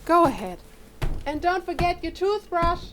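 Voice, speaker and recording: A woman's voice: very high-pitched, sing-song, unhurried, background noise, exaggerated voice. A woman playing a breezy, reassuring mother of a grown son in an audio play.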